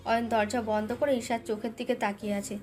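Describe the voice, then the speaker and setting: A woman's voice: fairly high pitch, little pitch movement, a little fast, a little mellow, soft background music. A woman narrating a scripted dramatic love story as its storyteller.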